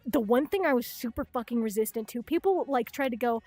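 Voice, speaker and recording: A young woman with a Chicago-style Midwestern accent, raised in Minnesota and South Dakota, chatting quickly and swearing freely as a livestream host late at night from her bedroom. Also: on the high side, animated, a little mellow, no room sound, soft background music. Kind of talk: casual late-night stream chat. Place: hot bedroom at home.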